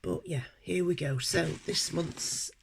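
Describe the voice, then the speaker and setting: Croaky British woman with a cold. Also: lowish voice. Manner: hesitant, many ums and pauses. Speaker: unboxing vlogger. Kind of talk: casual unboxing chat.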